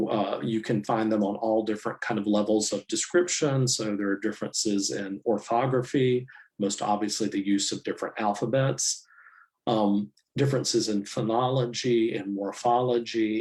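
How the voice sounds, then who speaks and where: A middle-aged man, a university professor, giving a public lecture in a measured, explanatory way with frequent fillers. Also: mid-range voice, a little mellow, poor audio. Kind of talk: academic lecture.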